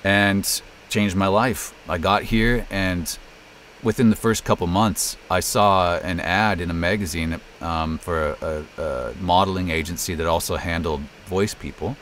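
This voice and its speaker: A man's voice: deep voice, fairly even intonation, a little slow, a bit bright, slight background noise. A middle-aged American man chatting casually in an interview as a voice actor and narrator. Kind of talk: casual interview, telling career story.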